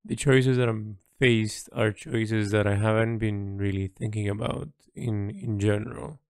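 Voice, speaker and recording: A man recording a reflective, unscripted audio diary as an artist, speaking in a halting, thoughtful way with pauses mid-phrase. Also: on the low side, steady tone, vocal fry, darkish tone, smooth, no echo, studio quality. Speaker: artist keeping an audio log.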